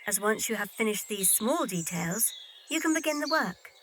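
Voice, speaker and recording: A woman's voice: mid-range voice, lively intonation, normal speed, fairly bright tone, slight background noise, lightly acted. A woman playing a composed, decided young heiress in an audio drama.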